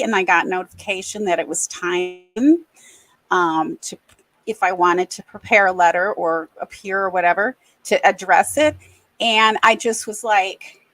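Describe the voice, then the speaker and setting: A middle-aged woman from the American Midwest, hosting a podcast and speaking candidly and reflectively, often pausing and restarting. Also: medium pitch, a bit bright, no echo, low-quality recording. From Racine, Wisconsin, USA. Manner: earnest, reflective, halting. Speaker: podcast host. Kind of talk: candid personal conversation.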